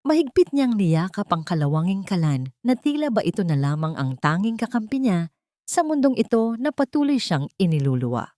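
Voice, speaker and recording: A woman's voice: medium pitch, big pitch swings, normal speed, warm tone, no room sound, clean studio sound. A woman with a Filipino accent reading a dramatic scripted story as a storyteller.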